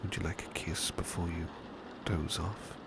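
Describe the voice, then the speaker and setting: A man playing a devoted, gentle British butler in an ASMR roleplay, speaking softly and breathily, often in a whisper, with tender deference. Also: deep voice, fairly even intonation, a little slow. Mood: soothing, affectionate. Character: devoted, gentle male butler soothing a sleepy master. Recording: clear background ambience noise, rain. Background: rain ambience.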